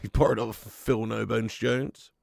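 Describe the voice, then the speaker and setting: A man hosting a live fan watch-along of a football match at home, chatting to viewers with sweary banter and bursting into loud cheering at chances. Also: lowish voice, fairly even intonation, a little slow, creaky, no echo, clean studio sound. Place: at home in front of a camera.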